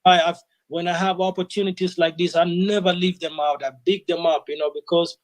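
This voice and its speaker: A man's voice: high voice, fairly even intonation, brisk, no room sound. A man guest in a casual interview, talking earnestly and reflectively, often saying "you know". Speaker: interview guest, sound system selector.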